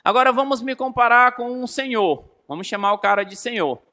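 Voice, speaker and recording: High-voiced Brazilian man teaching. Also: brisk, sharp tone. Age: 30s. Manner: didactic, emphatic, repeats key phrases and questions his listeners. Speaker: physics teacher. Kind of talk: explanatory lesson with rhetorical questions.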